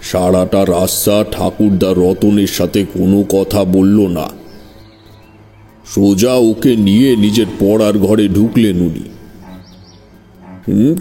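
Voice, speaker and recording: Male narrator for audio horror, low, dark and unhurried, music underneath. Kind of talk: dramatic scripted horror story narration.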